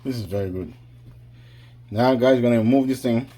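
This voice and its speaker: A man's voice: medium pitch, moderate pace, warm tone, a little hiss or hum. A man casually talking viewers through a home cooking vlog, chatty and addressing them directly. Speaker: cooking vlogger.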